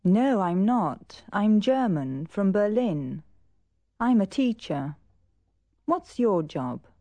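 Female actor as a courteous teacher at a party, slow, husky, lively pitch. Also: no room sound, dull audio. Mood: polite. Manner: slow, clearly articulated textbook lines. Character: German woman teacher from Berlin at a party. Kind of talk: scripted language course dialogue.

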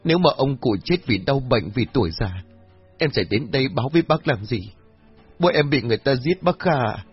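A man's voice: medium pitch, expressive, brisk, breathy edge, lo-fi sound, faint music behind, voice pushed up. A man voicing a distraught village woman, sobbing and pleading in grief, in an audio horror story. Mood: frantic grief. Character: distraught village woman, daughter sobbing over her murdered father.

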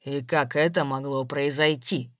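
Audiobook role: bullying, suspicious man played by a female reader, lowered voice, forceful accusations, dry recording. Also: very animated, measured pace, dry recording, exaggerated voice. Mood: cold, menacing. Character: angry, bullying middle-class businessman, jealous and suspicious.

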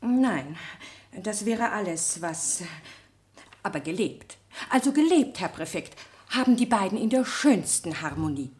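Lively, chatty laundress witness. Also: female voice, mid-range voice, measured pace. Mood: eager, emphatic. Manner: emphatic, eager, polite. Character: chatty, respectful French laundress giving witness testimony. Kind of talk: German radio play acting.

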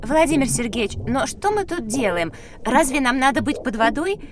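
A woman's voice: high-pitched, moderate pace, a little hiss or hum. A woman voicing a sweet, inquisitive, naive young schoolgirl in a children's educational audio drama. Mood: puzzled, curious. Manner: expressive, naive.

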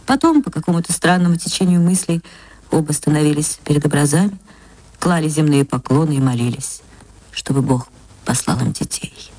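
A woman's voice: deep voice, animated, brisk, darkish tone. Woman reading a literary story expressively. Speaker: story reader, actress. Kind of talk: expressive literary narration for radio.

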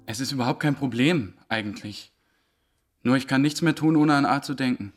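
A man's voice: medium pitch, normal speed, faint music behind, close to an everyday voice. Actor as a terse, wounded bereaved man. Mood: brooding. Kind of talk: radio drama.

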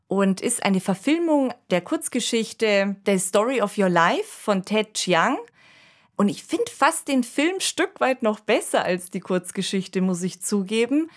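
A woman co-hosting a podcast, talking enthusiastically and colloquially in a conversational discussion. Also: medium pitch. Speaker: podcast co-host. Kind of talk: conversational discussion of science fiction.

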